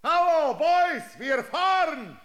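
A man's voice: extremely high pitch, very animated, slow, a crowd in the background, exaggerated voice. A man playing a resolute, defiant pioneer caravan leader in a German radio drama, speaking with determination. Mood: exuberant. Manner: determined, declarative.

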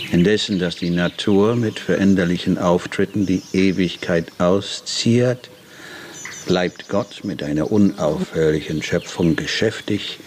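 Very deep, slow English gentleman. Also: slight background noise. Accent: light English. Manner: measured, reflective. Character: contemplative English gentleman, solemn friend of a philosopher. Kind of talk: German radio drama acting. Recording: faint birdsong and garden ambience. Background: birdsong, insects.

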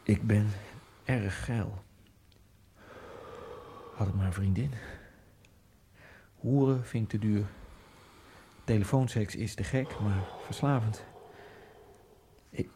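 An actor playing a lonely Egyptian immigrant man in a radio drama, musing in a wry inner monologue that keeps jumping into giddy high outbursts. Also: mellow, a little hiss or hum. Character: lonely male Egyptian immigrant, brooding, self-mocking. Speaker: narrator and main character.

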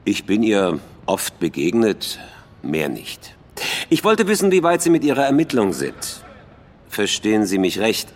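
An actor playing a smooth-talking, self-important club president and mayor, formal and politely probing, in a radio drama. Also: male voice, lowish voice, huge pitch swings, measured pace, exaggerated voice. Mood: guarded, diplomatic. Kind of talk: German radio drama acting.

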